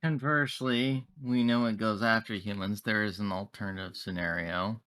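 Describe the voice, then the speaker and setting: A man playing a tabletop roleplaying game, improvising in character with formal, deliberate wording. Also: fairly low pitch, fairly even intonation, a bit bright, smooth, no echo.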